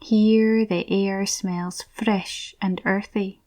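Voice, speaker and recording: A woman softly narrating a scripted guided visualisation in a soothing, breathy, near-whispered voice. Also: mid-range voice, steady tone, very slow.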